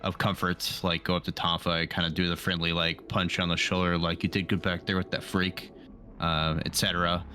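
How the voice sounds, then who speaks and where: A man's voice: low-pitched, little pitch movement, fairly quick, pushed and bright. A man playing a tabletop roleplaying game, chatting casually and thinking aloud as he describes what his character does.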